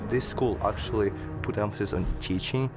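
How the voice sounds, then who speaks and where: A man's voice: fairly low pitch, moderate pace. A young man in his twenties from Kazakhstan, a graduating student, speaking candidly and plainly about his path in an interview. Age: 20s. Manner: candid, plain, searching for words. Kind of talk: reflective interview talk. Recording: interview with music underneath. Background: background music.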